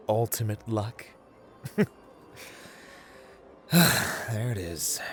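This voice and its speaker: A man playing a playful, haughty god of luck in an ASMR roleplay, theatrical and teasing, drawling his words as he addresses the listener. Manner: theatrical, teasing, drawling, swinging between hushed and booming.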